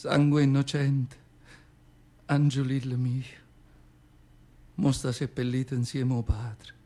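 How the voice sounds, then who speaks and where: A man's voice: medium pitch, little pitch movement, slow pace, mellow. A male actor playing an elderly, devout southern Italian mother with a Neapolitan accent, telling her memories in a plaintive, emotional radio monologue. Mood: hushed grieving. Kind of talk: theatrical radio monologue in dialect. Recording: guitar music behind the voice. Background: acoustic guitar music.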